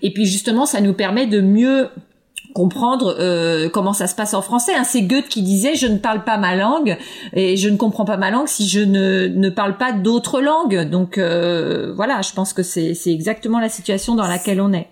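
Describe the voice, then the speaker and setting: A woman's voice: mid-range voice, normal speed, darkish tone. A woman researcher answering interview questions, hesitant, with many fillers and restarts. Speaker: education researcher.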